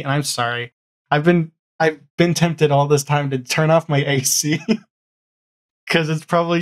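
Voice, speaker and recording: Informal middle-aged man chatting, jokey and halting. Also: mid-range voice. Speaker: podcast guest, audio drama showrunner. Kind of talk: casual interview chat about production. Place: small home room, on a video call.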